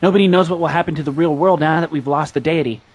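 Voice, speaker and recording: A man's voice: on the high side, steady tone, quick pace, lightly acted. A man voicing a polite, composed teenage schoolboy with mock solemnity in anime fan dub outtakes. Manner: mock-solemn, joking asides.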